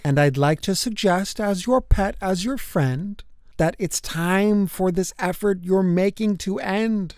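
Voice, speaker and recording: A woman's voice: medium pitch, measured pace, a little mellow, dry recording, clean studio sound, natural voice. A woman voicing a frank, long-suffering pet dachshund in a storybook reading. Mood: frank, weary. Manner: frank, reasoning.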